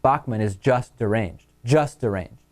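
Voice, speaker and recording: A male talk show host with an American accent. He speaks at a middle pitch in a commentary style, moving between listener mail and his own remarks. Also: measured pace, darkish tone.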